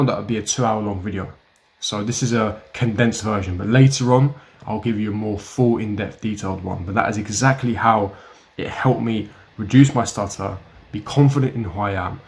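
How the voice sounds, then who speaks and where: A young man giving blunt, emphatic self-help advice straight to camera, repeating his key points. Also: on the low side. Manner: blunt, emphatic and repetitive, lecturing the viewer. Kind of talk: direct-to-camera advice talk.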